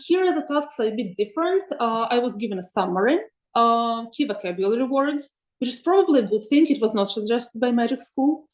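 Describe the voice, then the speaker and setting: A woman presents AI tools for teachers in a webinar, thinking aloud with many ums and pauses. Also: a little high, echoey room. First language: Russian. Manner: thoughtful, many ums and uhs, asks for next slide. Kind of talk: presenting slides in an online webinar.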